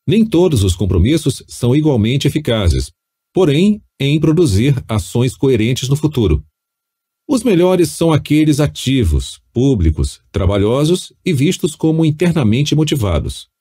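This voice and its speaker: Lively Brazilian man narrating, slightly husky. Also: mid-range voice. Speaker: audiobook narrator. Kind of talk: scripted audiobook narration of nonfiction.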